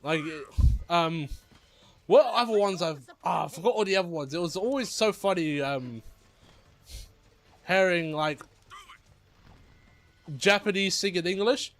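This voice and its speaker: A man's voice: high voice, animated, unhurried, long pauses, fairly bright tone. A young man giving rambling, casual commentary while playing a video game, chatty and easily amused.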